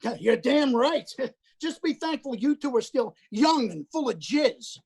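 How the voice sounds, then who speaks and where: A woman voicing a crude, lecherous older man who is a hospital patient, talking with a leering, boastful drawl and breathy chuckles. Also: high-pitched, expressive, unhurried, darkish tone, heavily acted. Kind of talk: livestream play reading.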